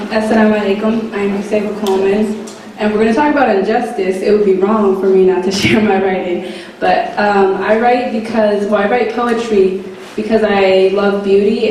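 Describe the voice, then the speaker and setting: A woman introduces herself as a poet in a light, easygoing way. Her voice sounds far off in a very echoey hall. A crowd and noise are clearly audible behind her. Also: medium pitch, steady tone, moderate pace. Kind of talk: self-introduction at a live event.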